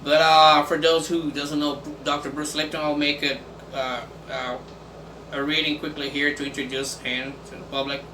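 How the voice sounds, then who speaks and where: A man whose first language is Portuguese, hosting a casual video and reading text aloud in English with many pauses and fillers. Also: a little high, little pitch movement. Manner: halting, many fillers and restarts. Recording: echoey room from far off, faint background noise.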